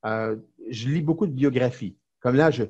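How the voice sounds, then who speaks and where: Man, Québécois accent, middle-aged, lively storyteller, many fillers. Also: medium pitch, moderate pace, husky, lo-fi sound. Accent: Québécois French. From Quebec, Canada. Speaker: lawyer and entrepreneur, podcast guest. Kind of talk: casual interview chat.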